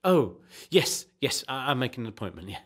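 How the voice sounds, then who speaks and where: A man's voice: medium pitch, big pitch swings, a little fast, very noisy, lightly acted. A man playing an obsessive, fragile geography teacher in a comedy radio play, stammering and pedantic. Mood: sheepish, evasive. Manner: hesitant, stammering.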